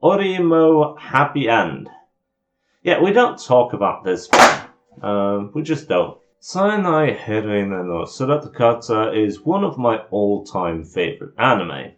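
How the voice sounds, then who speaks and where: Low-pitched man giving blunt review commentary. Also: very animated, unhurried, fairly bright tone, slight background noise. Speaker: video reviewer of anime visual novels. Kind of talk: semi-scripted review commentary.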